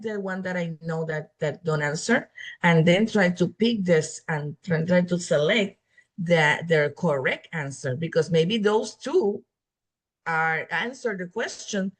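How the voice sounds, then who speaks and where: A woman from Puerto Rico with a Spanish accent, a native Spanish speaker, talks slowly in a lowish voice. She tells her story earnestly and halts often to restart her sentences. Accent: Puerto Rican Spanish. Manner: earnest, halting with frequent restarts. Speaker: guest, exam passer. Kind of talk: casual interview storytelling.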